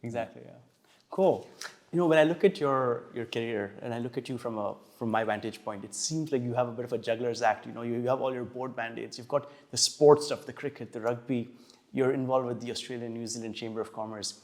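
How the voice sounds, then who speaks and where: A man's voice: mid-range voice, brisk, darkish tone. A man hosting a podcast, chatting casually as he puts questions to his guest. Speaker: podcast host, interviewer. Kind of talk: casual interview chat.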